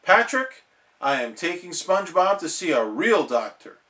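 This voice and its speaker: Casting: man voicing a bossy female cartoon squirrel, raised pitch, forceful and commanding. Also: very animated, normal speed. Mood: demanding.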